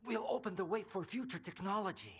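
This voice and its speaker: An actor playing an earnest, grandiose scientist in a video game, speaking in lofty, pleading phrases. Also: male voice, sits high, quick pace, fairly bright tone, breathy edge, long reverb tail. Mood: solemn, grandiose. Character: grandiose, anxious male scientist, visionary head of an institute.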